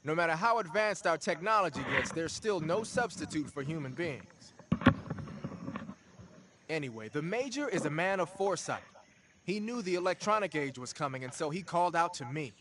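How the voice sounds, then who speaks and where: Talkative tech and weapons specialist for a video game, male actor; higher male register, streetwise, informal explainer. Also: lively intonation, normal speed, background noise, lightly acted. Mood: frank, earnest.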